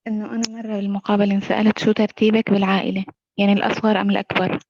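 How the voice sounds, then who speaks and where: A woman's voice: medium pitch, flat delivery, racing, no echo. A woman in her twenties with a Levantine Arabic accent, practicing English hesitantly and repeating after her tutor. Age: 20s. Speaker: student. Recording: dull, dry call audio.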